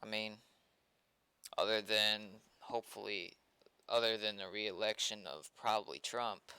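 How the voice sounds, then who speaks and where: Low, creaky-voiced man muttering while coding. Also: fairly even intonation, measured pace, pushed and bright, no room sound. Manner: muttering to himself in short fragments. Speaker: streamer coding a game mod. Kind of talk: casual thinking aloud while programming. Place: indoors at a computer desk.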